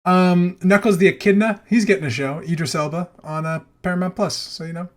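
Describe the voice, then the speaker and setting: A man's voice: high voice, a little fast. A man co-hosting a podcast, running through entertainment news in casual, enthusiastic chat with some easy swearing. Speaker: podcast co-host.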